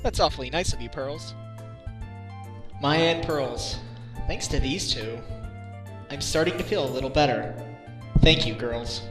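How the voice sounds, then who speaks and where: A man's voice: medium pitch, measured pace, long pauses, over music, natural voice. A man in a game fan dub playing a good-natured defense lawyer with a wry, casual manner. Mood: friendly.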